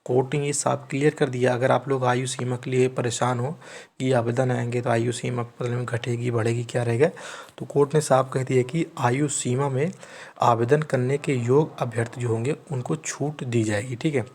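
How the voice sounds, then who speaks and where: A man's voice: medium pitch, little pitch movement, normal speed, a little mellow. Man explaining news informally. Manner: repeatedly rephrases and checks understanding, teacherly. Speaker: channel host explaining recruitment news. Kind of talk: informal explainer, reading news aloud and commenting.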